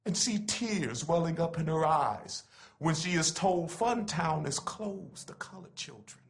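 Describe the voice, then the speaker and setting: A man's voice: a little high, expressive, a little slow, vocal fry. A man giving a dramatic recitation of a written letter in an oratorical, impassioned manner with emphatic phrasing.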